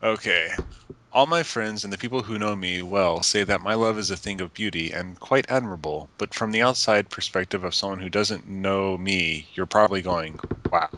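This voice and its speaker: A man's voice: deep voice, normal speed, bright, forceful tone, a little hiss or hum. A man reading a letter aloud in an earnest, emphatic manner. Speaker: reader of a letter to friends.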